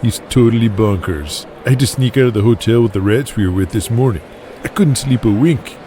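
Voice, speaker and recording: A man's voice: mid-range voice, animated, fairly quick, mellow, a little hiss or hum, natural voice. A man voicing a rattled but laid-back pro wrestler in an audio drama, speaking with slangy emphasis. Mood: alarmed.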